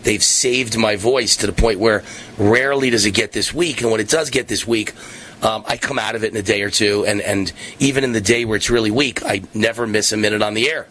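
Hoarse, rambling male talk radio host. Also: fairly low pitch, fairly even intonation, fairly quick, fairly bright tone, slight background noise, poor audio. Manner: rambling, repeats himself, many fillers. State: hoarse, losing his voice. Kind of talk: casual monologue on talk radio.

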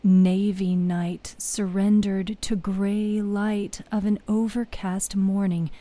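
A woman narrating a scripted fantasy story in a solemn, dramatic manner with hushed phrase endings. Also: mid-range voice, level tone, takes it slowly.

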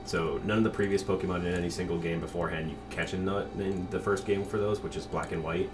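Low-voiced young man, off-mic. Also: steady tone, fast talker, over music. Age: 20s. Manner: talkative, explains at length, laughs often. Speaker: podcast host. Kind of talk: casual podcast chat. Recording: distant mic with clear background noise.

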